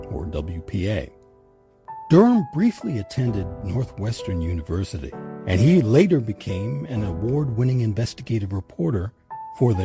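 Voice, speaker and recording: Over clear background music, a man narrates a scripted documentary. His fairly low voice moves expressively up and down, measured and emphatic.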